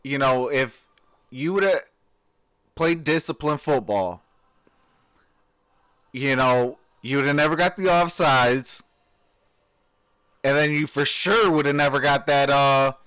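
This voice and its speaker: A man hosting a live stream, reacting to a game and chatting with viewers in a sleepy, just-woken voice, cheering like an excitable fan. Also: medium pitch, takes it slowly, long pauses, smooth, no echo. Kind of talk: casual live reaction chat.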